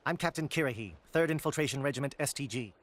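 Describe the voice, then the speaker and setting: An actor playing a stern alien army captain in a video game, clipped, formal and urgent. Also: male voice, mid-range voice, quick pace, fairly bright tone, lightly acted. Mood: tense, exasperated. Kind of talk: video game cutscene acting.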